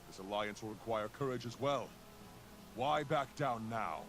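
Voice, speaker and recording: A man's voice: on the low side, a little slow, a bit bright. An actor playing a resolute male hero in a video game, speaking steadily and persuasively. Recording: game audio with background noise.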